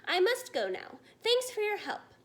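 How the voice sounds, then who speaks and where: A woman's voice: very high voice, wildly swooping pitch, unhurried, pitched above the performer's own voice, exaggerated voice. A woman voicing an unflappable, commanding queen in a storybook, matter-of-fact and regal. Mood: composed, brisk.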